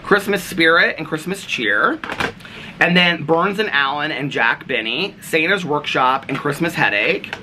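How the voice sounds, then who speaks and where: An American man chatting casually to camera as a vlogger, in a gushing, easily excited manner. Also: fairly high pitch, measured pace. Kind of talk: casual show-and-tell chat to camera. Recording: clearly noisy home recording with clicks.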